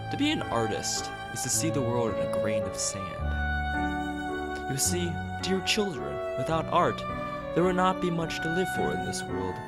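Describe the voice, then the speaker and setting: Man putting on a grandiose, excitable art teacher, swooping pitch. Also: moderate pace, close to an everyday voice. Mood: grandly earnest. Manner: theatrical, pompous speechifying. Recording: music playing behind the voice.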